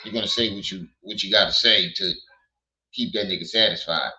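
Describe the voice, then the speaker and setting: A hoarse man hosting a casual livestream, blunt and quick to laugh while greeting his chat. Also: low voice, sharp tone, low-quality recording. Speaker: livestream host.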